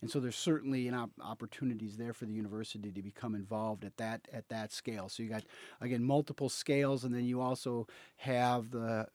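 A man of middle pitch speaks as an interview guest, thoughtful and halting, repeating small words as he looks for the right phrase. The sound is dry and close. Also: fairly even intonation, a little mellow, no room sound. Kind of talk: interview answers.

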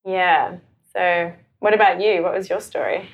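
Woman, low pitch, gravelly vocal fry, casual interview guest, informal phrasing, from South Africa. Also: a little slow, muffled recording. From South Africa, later New Zealand. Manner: informal, animated phrasing with frequent "like". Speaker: podcast guest, sexuality and mindfulness facilitator.

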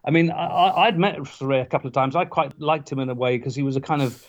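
A man, a guest in a casual interview, tells anecdotes with halting restarts and wry asides. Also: medium pitch. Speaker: guest, former parliamentary candidate. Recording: dull lo-fi sound.

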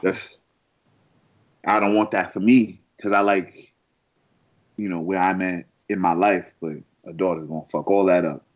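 Low-voiced man, earnest and halting. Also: steady tone, muffled recording. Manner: earnest, halting, restarts often. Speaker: podcast co-host. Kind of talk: casual chat.

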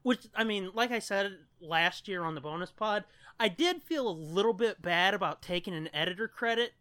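A man's voice: sits high, moderate pace, bright, forceful tone, clean tone, no echo. A man co-hosting a podcast in casual, rambling and casually profane chat. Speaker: podcast co-host.

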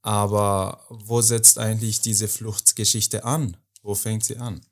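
A young man from Afghanistan co-hosting a podcast, talking hesitantly with many pauses and fillers as he searches for words. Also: lowish voice, little pitch movement. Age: young adult. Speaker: podcast co-host. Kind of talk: casual podcast talk.

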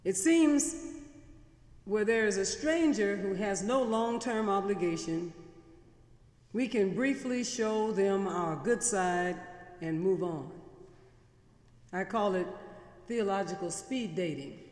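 A woman's voice: medium pitch, slow pace, pauses often, darkish tone. Middle-aged woman guest preacher, storytelling sermon style, long church reverb. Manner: conversational storytelling, building emphatic repetition. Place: large church.

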